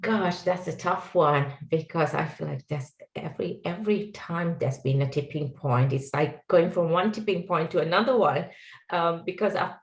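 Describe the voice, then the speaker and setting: A woman's voice: fairly low pitch, normal speed, gravelly, echoey room. A middle-aged woman with a Sri Lankan accent, speaking as a guest on an online panel in an earnest, reflective way with many fillers. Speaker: guest speaker, tech executive.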